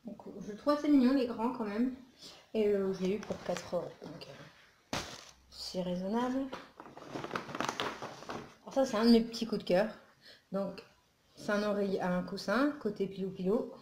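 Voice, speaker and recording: A woman's voice: medium pitch, normal speed, long pauses, background noise. Chatty woman vlogging in an echoey room. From northern France. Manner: chatty, rambling with many fillers and asides. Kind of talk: casual haul vlog. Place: home room with Christmas tree behind.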